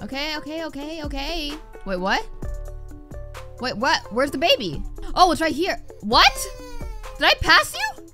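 A young woman with a high, bright voice commentates on a video game. She is animated and reactive, swinging widely in pitch as she talks to the game, with music behind her. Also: unhurried. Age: young adult. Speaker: gaming streamer. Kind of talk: casual playthrough commentary with reading aloud.

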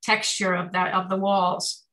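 A woman's voice: mid-range voice, flat delivery, a little slow, pushed and bright. A woman artist giving informal commentary on art slides over a video call.

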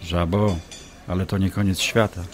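An actor playing a smug, obsessive doctor in an audio drama, taunting and insinuating as a manipulative ex-lover. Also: male voice, low voice, little pitch movement, normal speed, background noise, close to an everyday voice. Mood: insinuating, pressing. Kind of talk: audio drama acting, dialogue.

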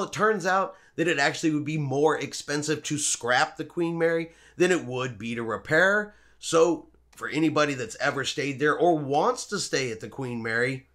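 Middle-aged male vlog host, slightly higher voice, chatty and upbeat, casual to camera at home. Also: a bit bright. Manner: upbeat, chatty, talks with his hands. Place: home room with shelves and a wall map, indoors.

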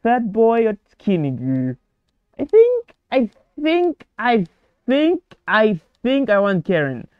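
A man's voice: high voice, huge pitch swings, slow, smooth, no room sound, muffled recording. A young man casually commenting on a story game with playful jokes and stumbling repeats. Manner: joking, playful, repeats words. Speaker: gaming video creator. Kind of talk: casual let's play commentary.

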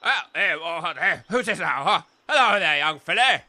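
A man's voice: sits high, expressive, moderate pace, background noise. A man voicing an absent-minded, blustering old aristocrat with an upper-class English accent in a comic audio drama. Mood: hearty. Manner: exclamatory, blustering.

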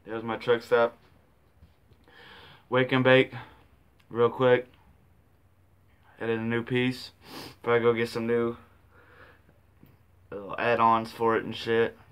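A man's voice: medium pitch, monotone, takes it slowly, pauses often, fairly bright tone, clear, resonant tone. A man in his twenties chatting casually to his vlog in a laid-back, slangy, sweary way, recorded at arm's length in a small bathroom. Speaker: video host. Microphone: camera or phone at arm's length.

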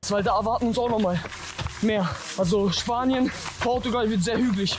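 A man's voice: sits high, steady tone, a little fast, slight background noise. A man vlogging as he runs a long trail outdoors, upbeat and talking while moving. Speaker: long-distance runner vlogging his trek. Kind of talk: vlog narration while walking.

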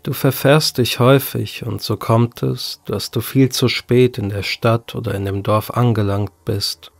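A man's voice: lowish voice, creaky, no room sound. A man reading a scripted horror story as an audiobook narrator. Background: music.

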